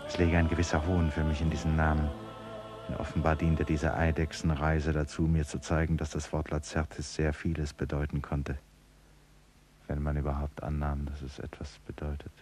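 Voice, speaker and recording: An actor playing a reflective painter in a German radio play, speaking in a measured, pensive way. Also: male voice, extremely low pitch, completely flat delivery, darkish tone, faint music behind. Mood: pensive narration. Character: reflective male painter recalling a jungle expedition. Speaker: radio play narrator and lead. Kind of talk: 1950s-70s German radio play acting, first-person narration and dialogue.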